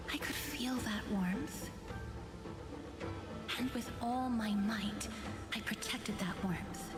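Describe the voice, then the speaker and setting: An actress voicing a gentle, wistful young woman in a dramatic video game, speaking softly, tenderly and solemnly. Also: a little high. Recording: echoey long reverb, music behind.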